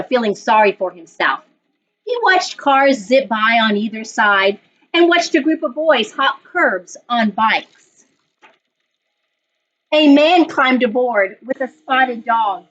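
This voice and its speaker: A woman reading coach reading a children's story aloud in an expressive, deliberate storytelling manner. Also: a little high. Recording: dull lo-fi online recording.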